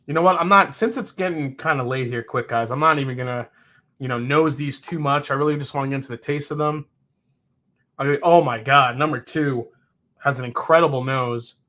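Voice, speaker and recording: A man in his forties hosting a live whiskey tasting, chatting casually and reacting to viewers' comments with quick, excitable exclamations. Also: medium pitch. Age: 40s. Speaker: host of a whiskey tasting show.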